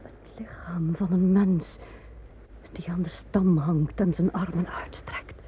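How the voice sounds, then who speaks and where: Een vrouw speelt een angstige, fluisterende koningin. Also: female voice, lowish voice, fairly even intonation, takes it slowly. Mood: frightened whisper. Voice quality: hushed, whispering, frightened. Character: the Queen of Sheba, proud frightened visionary queen. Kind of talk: old Dutch radio drama acting. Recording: tinny old radio recording, faint hiss.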